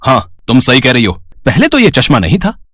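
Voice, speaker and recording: A man voicing a callous, scheming young husband with a husky voice in a moral story. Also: medium pitch, huge pitch swings, brisk, a bit bright, exaggerated voice. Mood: suspicious.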